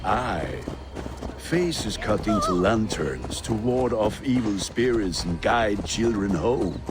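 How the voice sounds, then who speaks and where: Deep, lively Viking blacksmith. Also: male voice, takes it slowly, noisy background. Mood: jovial. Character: hearty, lovestruck Viking blacksmith man, blunt and teasing. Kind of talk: video game dialogue acting.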